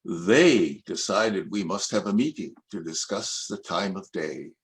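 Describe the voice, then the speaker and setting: An older man reads his own verse slowly and deliberately, taking his time over each line. His voice sits a little low, yet his pitch rises and falls a great deal as he recites. The delivery is calm and reflective. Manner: slow, measured, reflective recitation. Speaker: poet reading his own work. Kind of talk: poetry reading.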